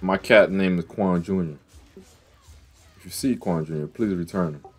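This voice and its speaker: A man's voice: deep voice, moderate pace, faint music behind. A man casually chatting over gameplay as a streamer, slangy and full of trash talk. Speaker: gaming streamer.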